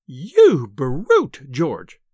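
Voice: medium pitch, huge pitch swings, measured pace, fairly bright tone, dry recording, studio quality, voice pushed up. A reader voicing a small Edwardian wife with a furious temper, screaming and scolding in an audiobook.

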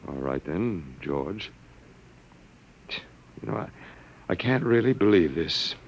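A man's voice: low-pitched, expressive, takes it slowly, husky, heavily acted, rough recording. A man playing a sardonic, resigned captive professor in a classic radio drama, musing and hesitating as he talks. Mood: bemused. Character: wry, resigned male anthropology professor held captive by aliens.

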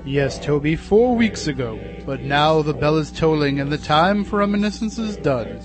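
A man's voice: a little high, animated, normal speed, music in the background, close to an everyday voice. A man acting a solemn, urgent character in an eerie, theatrical radio drama.

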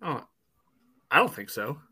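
A man's voice: mid-range voice, very animated, measured pace, sharp tone, slightly rough. A man co-hosting a sports podcast, giving informal, opinionated match analysis. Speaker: podcast co-host.

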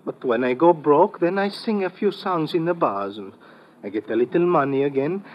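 Man as high-pitched carefree calypso singer. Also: lively intonation, moderate pace, a little hiss or hum, lightly acted. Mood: contented, lazy. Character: carefree, happy-go-lucky Trinidadian calypso singer and idler. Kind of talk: 1950s radio drama acting. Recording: dull old radio recording, music behind.

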